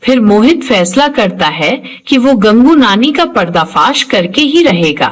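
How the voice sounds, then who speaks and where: Lively woman narrating horror stories. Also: fairly high pitch, unhurried, breathy edge. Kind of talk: scripted horror story narration.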